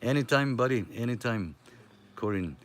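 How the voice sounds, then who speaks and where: A man's voice: medium pitch, expressive, normal speed. A middle-aged man from Greece with a Greek accent, hosting a casual CB radio chat in a friendly, hesitant way with lots of fillers. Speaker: CB radio net host.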